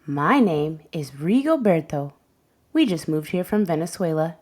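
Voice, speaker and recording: A woman's voice: low voice, animated, a little slow, a little mellow. A friendly young schoolboy, newly arrived in a storybook classroom, voiced by a woman. He talks in a simple, childlike way. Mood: plain, introducing.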